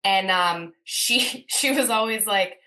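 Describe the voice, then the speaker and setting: Bright playful thirties woman guest. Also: mid-range voice, takes it slowly. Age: 30s. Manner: playful, laughing storyteller. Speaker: podcast guest, nurse. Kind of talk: casual conversational interview.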